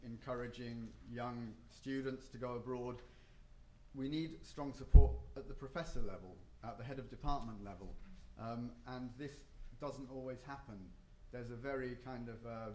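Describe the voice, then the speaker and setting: A man's voice: medium pitch, mellow, echoey room, a little hiss or hum, rough recording. A man giving a conference panel talk, hesitant, with frequent ums and restarts. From not Japan or the US. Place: hotel conference room.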